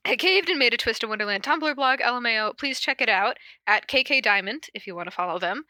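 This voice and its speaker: A woman hosting a casual podcast, reading stories aloud and chatting between them. Her voice is bright and forward, with a very dry, close sound. She keeps an informal, giggly manner. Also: on the high side, animated, fast, no echo. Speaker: podcast host reading fan fiction aloud. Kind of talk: casual chat and cold reading of fan fiction.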